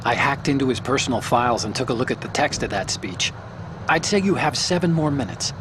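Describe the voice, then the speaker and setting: A man voicing an eager hacker, mid pitch, chatty, explanatory, noisy game audio. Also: lively intonation, a little fast, lightly acted. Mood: explaining. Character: chatty male tech support hacker.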